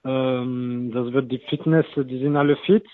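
A man in his thirties, a non-native German speaker, talking as a football coach guest with halting, hesitant phrasing. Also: medium pitch, fairly even intonation, dry recording. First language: not German. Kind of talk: casual interview talk. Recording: tinny phone-like line, very dry.

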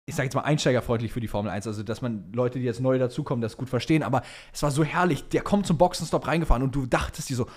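A young man talks as a podcast co-host in a loose, colloquial way. His voice sits at a middling pitch and he sounds like an enthusiastic fan, full of slang. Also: rapid-fire, hardly any pauses, a little mellow. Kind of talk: casual fan chat about motorsport.

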